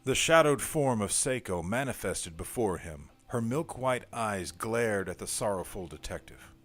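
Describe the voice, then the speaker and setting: A man's voice: on the low side, animated, a little slow. A man giving scripted, dramatic narration for a noir horror audio drama.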